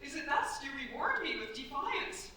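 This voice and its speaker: An actor playing a stern, persuasive older French aristocratic aunt in a staged radio play, in a formal, imperious, theatrical manner. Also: female voice, sits high, sing-song, moderate pace, pushed and bright, full character voice. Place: staged performance in a cultural centre. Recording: very echoey hall, distant mics, faint hiss.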